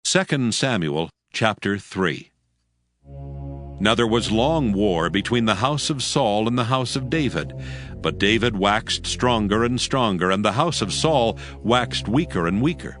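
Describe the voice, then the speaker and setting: A man narrating dramatized scripture from a script in a measured, formal manner. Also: lowish voice, animated, a bit bright, soft background music.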